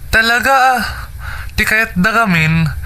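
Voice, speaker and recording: A supportive young Ilocano friend in a radio drama. His voice is high and very bright, with a friendly, sympathetic manner. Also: animated, measured pace, smooth, heavily acted, poor audio. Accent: Filipino (Ilocano).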